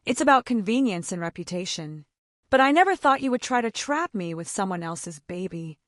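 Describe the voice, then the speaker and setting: A woman's voice: mid-range voice, expressive, normal speed, no room sound, natural voice. A woman reads the part of a bitter husband in a bedtime-story narration. He is cold and curt, accusing his wife, in a dry, close recording. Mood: bitter, angry.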